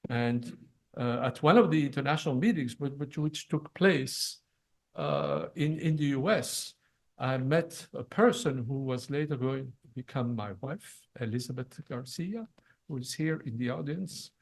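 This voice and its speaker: Older man, from Belgium, mid-pitched, unscripted ceremony talk, frequent restarts. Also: gravelly. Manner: halting, many fillers and restarts. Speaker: retiring professor. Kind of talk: ceremony presentation. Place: event hall.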